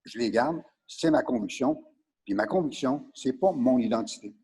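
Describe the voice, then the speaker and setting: A man with a Quebec French accent giving his opinions as a podcast guest, emphatic and insistent, with halting repetitions. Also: mid-range voice, lively intonation, darkish tone, husky. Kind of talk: opinionated discussion.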